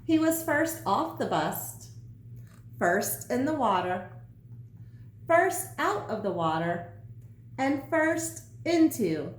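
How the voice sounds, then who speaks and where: A woman teacher reading a children's story aloud with deliberate, emphatic phrasing. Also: high voice, big pitch swings. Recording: roomy echo, some background noise.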